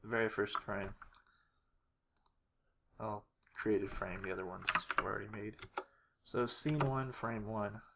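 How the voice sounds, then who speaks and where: Someone giving an informal editing walkthrough, talking haltingly and muttering to themselves while working. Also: mid-range voice, steady tone, gravelly. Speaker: tutorial creator. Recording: noisy home recording, keyboard and clicks. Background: mouse clicks and keyboard typing.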